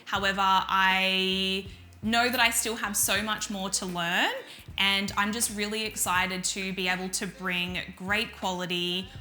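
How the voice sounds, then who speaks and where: A woman's voice: medium pitch, flat delivery, a little slow, bright, forceful tone. A woman from New South Wales, steadily giving a prepared self-introduction as a business partner. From New South Wales, Australia.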